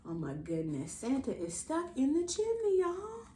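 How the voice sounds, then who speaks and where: A woman's voice: high voice, unhurried. Playful american lady doing kids read-aloud, really swoopy voice, takes her time, bit echoey. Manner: playful, expressive, talking directly to young listeners; exaggerated, theatrical delivery of silly sound words. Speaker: children's read-aloud host. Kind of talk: animated storytime reading for kids. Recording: roomy echo, light background noise.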